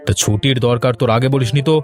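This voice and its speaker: A man playing a gruffly kind village gentleman boss in a cartoon, commanding and blustery. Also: medium pitch, steady tone, very fast, faint music behind, close to an everyday voice. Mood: stern, indulgent. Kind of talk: cartoon voice acting.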